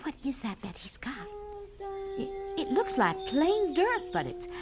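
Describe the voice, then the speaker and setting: A woman's voice: high-pitched, sing-song, unhurried, over music. An actress playing a curious, polite woman neighbor who keeps asking questions, in a radio drama. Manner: inquisitive, exclaiming. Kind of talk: 1940s radio drama acting.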